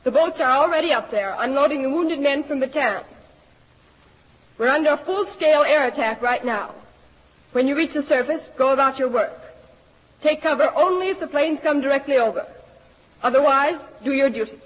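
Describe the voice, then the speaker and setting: An actress as a very high-pitched, commanding military announcer. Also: female voice, moderate pace, lightly acted. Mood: commanding, urgent. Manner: crisp, authoritative orders. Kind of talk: vintage radio drama announcement. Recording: muffled, echoing hall, old radio.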